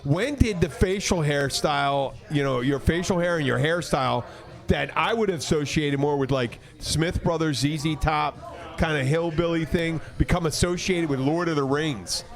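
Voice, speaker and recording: Higher-voiced man, rambling podcast host. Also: moderate pace. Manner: rambling, teasing, argumentative. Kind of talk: casual banter.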